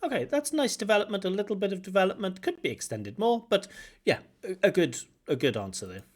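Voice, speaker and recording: A man from Wales, an English examiner, giving feedback on a speaking test and explaining points with examples and gentle corrections. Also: sits high, very animated, a little fast. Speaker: IELTS examiner and teacher. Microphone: video call.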